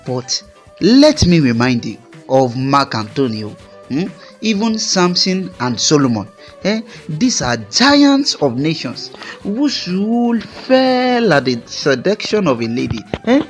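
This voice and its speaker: An actor playing a cautioning, rhetorical political conspirator with a Nigerian accent, adding questioning tags in a radio drama. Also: male voice, on the high side, very animated, slow, faint music behind.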